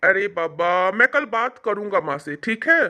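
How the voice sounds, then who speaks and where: A woman's voice: mid-range voice, very animated, a little slow, bright, forceful tone. A woman voicing a concerned young husband in a family story, coaxing and questioning in a placating manner.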